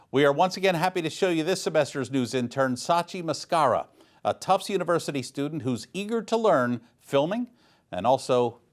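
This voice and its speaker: A man presenting scripted local community news as an anchor. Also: medium pitch.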